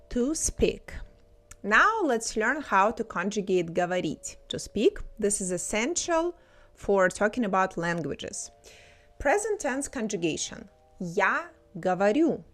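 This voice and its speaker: A woman narrating a scripted language lesson, explaining grammar slowly and carefully. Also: mid-range voice. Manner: slow, carefully spelled-out explanations. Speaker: language teacher.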